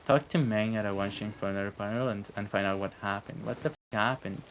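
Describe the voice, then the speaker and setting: A woman's voice: extremely low pitch, brisk, slight background noise. A woman giving casual, offhand live commentary on a game, muttering sweary reactions. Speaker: game streamer.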